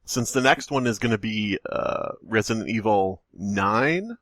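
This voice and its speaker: Man, fairly low pitch, quippy podcast banter, joking delivery, very dry room sound. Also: lively intonation, measured pace, a bit bright, dry recording. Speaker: podcast co-host.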